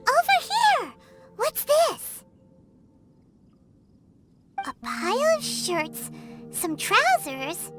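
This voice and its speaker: Game sidekick: tiny childlike girl, sky-high pitch, slow inquisitive delivery, loud music behind. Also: heavily acted. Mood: curious. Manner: inquisitive, expressive, cutesy. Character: small, childlike, curious fairy-like girl companion. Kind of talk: scripted video game voice acting.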